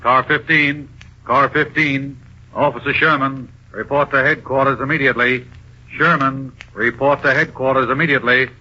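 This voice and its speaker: An actor playing a dutiful, efficient police dispatcher with clipped, official delivery in a vintage radio crime drama. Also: male voice, medium pitch, fairly even intonation, normal speed, sharp tone. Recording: muffled old-time radio recording.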